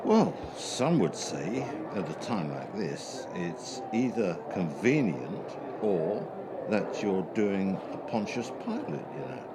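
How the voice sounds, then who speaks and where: A man playing a sceptical journalist in a radio play, questioning in a pointed, insinuating, deliberate manner. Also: on the low side, sing-song, vocal fry, full character voice. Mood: sceptical, probing. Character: probing, sceptical male journalist interviewing an official. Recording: very noisy background ambience.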